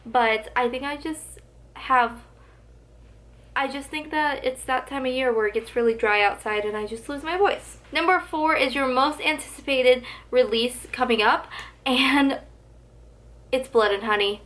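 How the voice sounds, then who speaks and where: A woman's voice: a little high, normal speed, fairly bright tone. A young woman who talks about books to the camera in a gushing, chatty way, full of "like," with her voice slightly going. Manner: gushing, chatty, heavy use of "like". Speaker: book vlogger. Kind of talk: casual book tag vlog.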